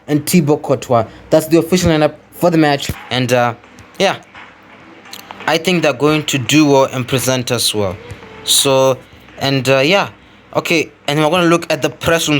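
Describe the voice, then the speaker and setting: A man with a fairly high voice hosts casual gameplay commentary. He thinks out loud, often repeats a phrase, and speaks straight to his viewers. A light layer of noise sits in the home recording. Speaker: gaming video host. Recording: clearly noisy home recording.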